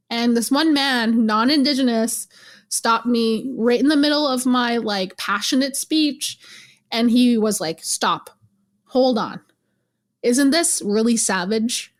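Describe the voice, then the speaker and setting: A young woman from Canada, a guest in an interview, telling stories in a lively, teasing way and laughing often. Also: fairly high pitch. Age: young adult. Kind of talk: casual interview.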